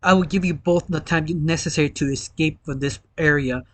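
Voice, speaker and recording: Plucky rookie villainess, played by a storyteller, girl archer, earnest, cheeky, middle pitch, for an adventure tale. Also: a little fast, a bit bright, no echo, natural voice. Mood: resolute.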